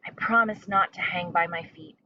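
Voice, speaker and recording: A woman's voice: fairly low pitch, normal speed, natural voice. A naive young girl bat from a storybook, played by a woman reader, earnest and childlike in manner. The sound is a little dull, with faint noise behind it. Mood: determined.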